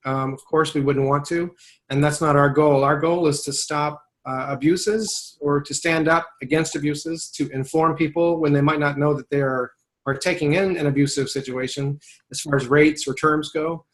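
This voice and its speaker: A man's voice: on the high side, steady tone, normal speed. A man working as a voiceover agent, talking in a casual webinar conversation, thoughtful and rambling, often restarting his sentences.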